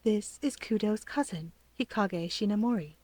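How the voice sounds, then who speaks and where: A woman's voice: medium pitch, animated, normal speed, dark tone, dry recording, close to an everyday voice. A woman voicing an easygoing adult uncle with a casual, friendly manner in a story reading. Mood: easy, evasive. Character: easygoing adult uncle, a man, gently humoring. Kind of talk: audiobook character voice.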